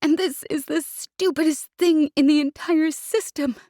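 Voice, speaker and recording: Woman reads as tearful young woman pilot, high, sobbing, breathy, storybook reading. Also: lively intonation, a little slow, a little mellow, dry recording, clean studio sound. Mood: furious, sobbing. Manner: angry, sobbing, swearing. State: crying.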